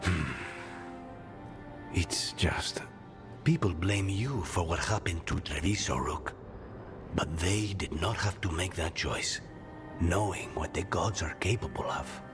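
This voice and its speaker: A man playing a grim, loyal assassin in a fantasy game, restrained, with a breathy edge. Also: sits low, slow pace, pauses often, over music. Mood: grim, brooding. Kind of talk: dramatic video game acting.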